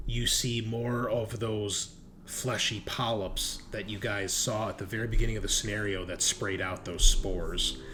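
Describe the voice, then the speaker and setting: Guy running a horror tabletop game, really slow and halting, lowish voice, some noise behind him. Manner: halting, with drawn-out pauses as he describes scenes. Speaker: game master.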